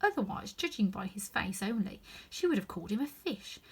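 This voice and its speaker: A woman reading a children's story aloud in an expressive narrating style. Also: medium pitch, brisk, breathy edge. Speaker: storybook reader.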